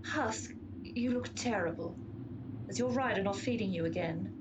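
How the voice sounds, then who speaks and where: A woman's voice: mid-range voice, moderate pace, background noise, natural voice. A woman playing a pompous, long-suffering horse of Pestilence in a comic radio play, lecturing and exasperated. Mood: weary, lecturing. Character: haughty, long-suffering female horse of Pestilence, bossy explainer.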